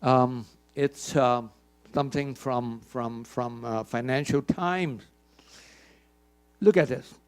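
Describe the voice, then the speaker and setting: A man's voice: mid-range voice, slow pace, long pauses, clean tone. An older man giving a conference keynote, emphatic, asking the audience questions and often repeating words. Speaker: keynote speaker. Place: conference hall.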